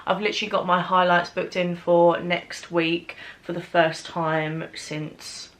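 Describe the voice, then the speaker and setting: A woman's voice: fairly low pitch, little pitch movement, unhurried, fairly bright tone. A young British woman with a stuffy, bunged-up nose, rambling chattily as a beauty vlogger in a get-ready-with-me video. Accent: British English.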